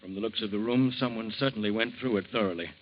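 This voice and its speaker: A man's voice: fairly low pitch, fast, lightly acted. A man playing a mild household uncle. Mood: uneasy. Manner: mild, then loudly alarmed. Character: well-off older uncle of the household. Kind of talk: 1940s radio acting. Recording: dull old radio recording with faint hiss.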